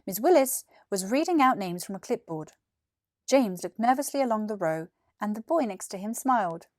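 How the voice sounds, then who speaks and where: British female storyteller, twenties, scripted children's narration, lively intonation, dry sound. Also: medium pitch, normal speed, dry recording. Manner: clearly articulated, animated. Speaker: actor reading a children's story. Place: small furnished room indoors. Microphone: lapel mic.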